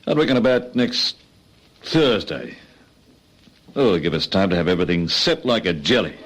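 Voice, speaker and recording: A cocky Australian gang boss in a radio crime drama. His low voice swings about freely as he hands out brisk, slangy orders to his fellow crooks. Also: big pitch swings. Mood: cocky, pleased. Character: cocky, bossy male ringleader of small-time crooks.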